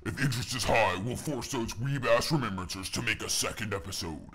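A man's voice: on the low side, a little fast, fairly bright tone, breathy edge. A man playing a stern, threatening imperial zealot delivering a mock propaganda announcement.

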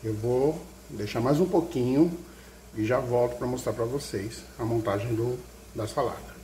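A man narrates his home cooking, talking through each step in a homely way with a middle-pitched voice. The kitchen sounds echoey, and loud clatter of pots and cutlery runs behind him. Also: moderate pace, noisy background. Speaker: home cook, husband of the channel host. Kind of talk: casual cooking vlog narration. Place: home kitchen, overhead camera on the counter.

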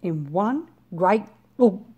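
A young woman reading a picture book to small children, expressive and deliberate, with pauses. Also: medium pitch, breathy edge, muffled recording. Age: young adult. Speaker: reception class teacher. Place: small bright school classroom, indoors.

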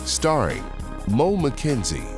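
Slow, swooping male credits announcer. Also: mid-range voice, wildly swooping pitch. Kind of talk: scripted podcast credits narration. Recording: music clearly playing behind.

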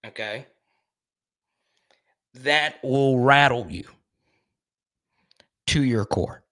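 A man in his thirties hosting a casual live stream, talking into a studio mic in a small room, animated and quick to repeat himself while reading out chat comments. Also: medium pitch. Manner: animated, repeats words, reads chat aloud. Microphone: studio mic on boom arm in front.

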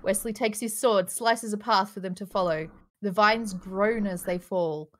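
A woman's voice: medium pitch, measured pace, a bit bright, a little hiss or hum. A woman reading stage directions as narrator of a table read on a group video call, in a dramatic storytelling manner. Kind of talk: scripted narration read aloud.

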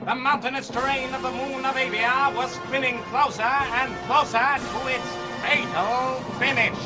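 A man playing a bombastic old-time radio serial announcer, proclaiming in drawn-out phrases. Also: extremely high pitch, steady tone. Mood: dramatic. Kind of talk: 1940s radio serial narration. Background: music.

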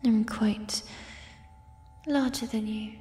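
A woman voicing a gentle, shy giant female troll in a soft, breathy ASMR whisper, with tender, formal phrasing. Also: on the high side, steady tone. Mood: tender, concerned. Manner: slow, formal old-fashioned phrasing, tender. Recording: long reverb, music behind. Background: fantasy ambience music.

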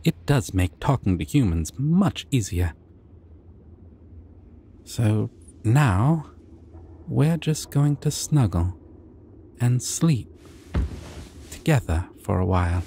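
A gentle, bashful dragon, acted by a man in a fantasy ASMR roleplay. He talks slowly and hesitantly in a mellow, soothing voice, eager to please. There is a faint noise in the background. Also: mid-range voice, big pitch swings. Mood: gentle, reassuring. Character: shy, sweet, gentle young male dragon, eager to please.

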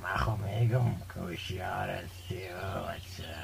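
An actor playing a talkative, sly village gossip with a creaky voice in a radio drama. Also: male voice, sits low, steady tone. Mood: sly, friendly. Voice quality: gravelly, creaky. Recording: noticeable background noise.

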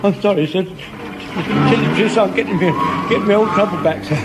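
An actor playing a flustered, excitable older soldier in a radio sitcom. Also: male voice, high voice, moderate pace, creaky. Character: flustered, eager old male soldier driver. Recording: hissy old radio recording from a distance.

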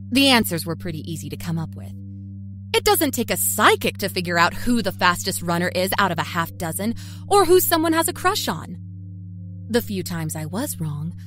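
A woman playing a self-satisfied small-town liar from Kentucky, telling her dark story in a folksy, confiding way. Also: on the high side. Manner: folksy, chatty, smugly confiding. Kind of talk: first-person dark storytelling. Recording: music under the narration.

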